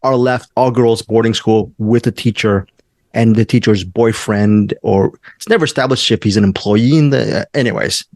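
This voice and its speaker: A man's voice: lowish voice, normal speed, a little mellow, no room sound, clean studio sound. A man hosting a movie review show, chatting casually and checking in with his co-hosts. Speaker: host of a film review show.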